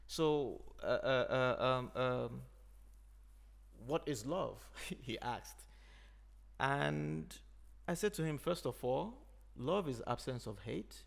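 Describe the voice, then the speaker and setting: A man from Nigeria, an Igbo speaker, talking in a thoughtful, unhurried way as a podcast host. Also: medium pitch, animated, gravelly. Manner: thoughtful, unhurried, frequent "you know". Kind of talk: reflective conversation.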